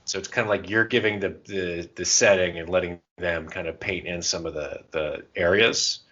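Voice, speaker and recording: A man co-hosting a podcast, chatting casually and telling stories by acting out quick bits of dialogue. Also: deep voice, fairly even intonation, sharp tone, dull audio. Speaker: podcast co-host. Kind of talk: casual chat about tabletop roleplaying.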